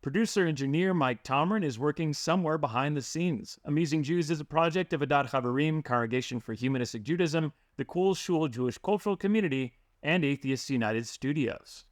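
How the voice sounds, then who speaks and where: A man's voice: a little high, fairly quick, few pauses, dry recording, studio quality. Man co-hosting a podcast. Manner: reads the intro crisply, then chats with fillers. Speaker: podcast co-host. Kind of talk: scripted intro, then casual chat.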